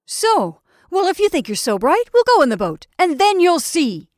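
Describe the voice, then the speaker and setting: A woman's voice: very high-pitched, wildly swooping pitch, normal speed, dry recording, clean studio sound, pitched above the performer's own voice, exaggerated voice. A woman voicing a stubborn, domineering, exasperated man in an audiobook, with a defiant, barking, taunting manner. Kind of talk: audiobook character acting.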